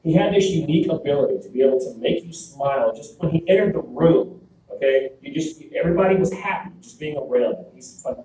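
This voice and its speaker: A man's voice: a little high, expressive, fast talker, warm tone, far from the mic, slight background noise. Chatty man in a very echoey room. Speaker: colleague giving a tribute.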